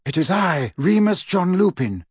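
A middle-aged wizard from a storybook, acted out by a male reader, weary yet emphatic. The voice is husky and very animated, with formal, declarative phrasing and a close, dry sound. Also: mid-range voice, a little slow, dry recording. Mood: urgent, declaring. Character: frightened then overjoyed weary middle-aged male wizard werewolf friend.

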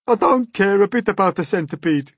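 Man as storybook earthworm, grumpy, high, loud, plaintive, emotional, rough-edged. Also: animated, fairly quick, no echo, studio quality, exaggerated voice. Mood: sobbing.

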